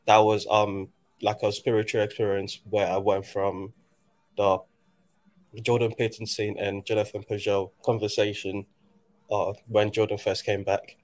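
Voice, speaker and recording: A man in his twenties from Nigeria, talking in a reflective, halting way with many restarts in a casual conversation. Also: on the low side, level tone, darkish tone. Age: 20s.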